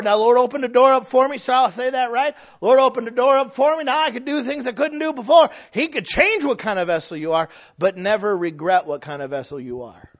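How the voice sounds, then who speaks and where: A man's voice: extremely high pitch, fairly bright tone. A man preaching a sermon in a folksy, humorous way, with emphatic rises and drops. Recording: slightly dull lo-fi sound.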